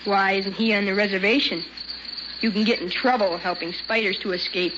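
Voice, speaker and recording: A woman's voice: mid-range voice, fairly even intonation, a little fast, lightly acted. A woman playing a brash, curious young boy with blunt, childish talk in an old-time radio drama. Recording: muffled, noisy old radio recording.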